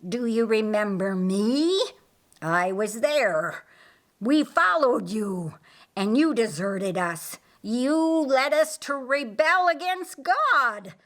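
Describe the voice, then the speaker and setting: A woman's voice: a little high, a bit bright. Woman playing a bitter, enraged chained demon. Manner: accusing, intense, emphatic.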